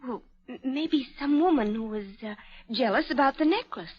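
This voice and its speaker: High-pitched young heroine, distressed yet determined, earnest and insistent, in muffled vintage radio melodrama. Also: female voice, moderate pace, husky. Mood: urgent. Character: distressed, determined young woman defending her father. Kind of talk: 1940s radio melodrama acting.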